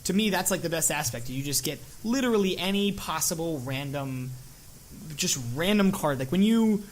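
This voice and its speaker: Higher voiced guy on a podcast, gets hyped fast, swears a lot. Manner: excitable, exclaims and swears freely. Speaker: podcast co-host. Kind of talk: casual podcast chat.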